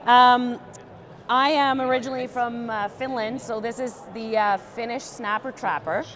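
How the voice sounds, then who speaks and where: A young woman tying a fishing fly in a joking, deadpan demonstration, with many ums and uhs. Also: a little high, measured pace. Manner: deadpan, playful, many fillers. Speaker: guest fly tier.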